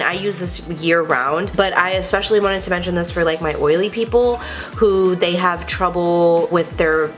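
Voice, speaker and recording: A woman's voice: fairly low pitch, a bit bright. A young woman, congested from a cold, chatting casually and gushing about makeup with lots of "like" fillers. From Texas. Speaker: beauty vlogger. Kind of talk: casual chatty product review.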